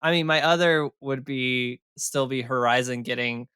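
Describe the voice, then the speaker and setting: A man's voice: medium pitch, moderate pace, bright, forceful tone, smooth, no room sound, clean studio sound. A man co-hosting a casual gaming podcast who thinks aloud hesitantly, with many fillers. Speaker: podcast co-host.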